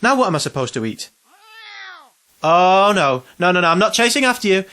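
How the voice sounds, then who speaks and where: A man's voice: sits high, sing-song, brisk, bright, forceful tone, heavily acted. An actor playing an anxious, self-pitying man in his twenties, hesitant and rambling, in an audio drama. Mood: wry, downcast. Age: 20s.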